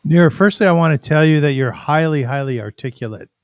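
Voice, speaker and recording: A man's voice: fairly high pitch, a little fast, slight background noise. A man hosting an interview, effusive with praise and prone to repeating himself as he restarts his sentences. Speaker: show host.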